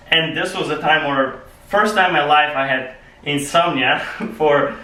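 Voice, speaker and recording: A young man with a Polish accent gives an earnest club speech, restarting phrases as he searches for the right words. His voice is bright and fairly high for a man. He sounds set back from the microphone in an echoey meeting room. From Poland. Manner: earnest, restarts phrases, non-native grammar. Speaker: club speaker. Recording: echoey room, mic far off.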